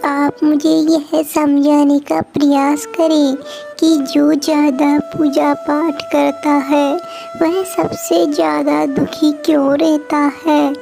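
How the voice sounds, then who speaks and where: A woman voicing a devout, sorrowful little female sparrow, earnest and pleading, in an animated moral story. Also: sits high, creaky, heavily acted.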